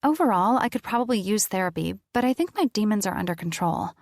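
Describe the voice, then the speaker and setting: A woman voicing a sarcastic young heroine who talks quickly with wry defiance. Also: mid-range voice, no echo, studio quality, voice pushed up, close to an everyday voice. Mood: defiant.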